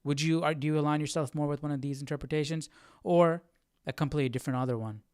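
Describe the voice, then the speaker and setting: A man with a somewhat high-pitched voice talks casually on a live study stream. He muses aloud and hesitates as he searches for the right words. The sound is close and very dry, with little room around it. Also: steady tone, quick pace, darkish tone, smooth, dry recording.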